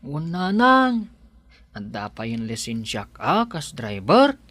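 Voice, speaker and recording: A man's voice: on the high side, wildly swooping pitch, slow, a bit bright, no room sound, exaggerated voice. An actor with an Ilocano accent playing an earnest young village man who drives for a living, in a radio drama. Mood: earnest, explaining.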